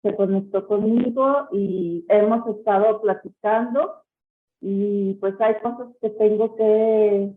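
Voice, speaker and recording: A Mexican woman, a guest medium, recounting a personal experience slowly and haltingly, with many fillers. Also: medium pitch, steady tone. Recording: tinny phone-like call line.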